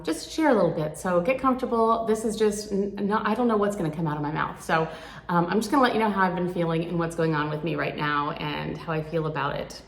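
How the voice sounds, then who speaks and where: A woman of sixty talking candidly and earnestly to her viewers, reflecting at a rambling, thoughtful pace. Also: lowish voice. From United States. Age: 60s. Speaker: vlogger. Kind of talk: candid reflective chat to camera. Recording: echoey room.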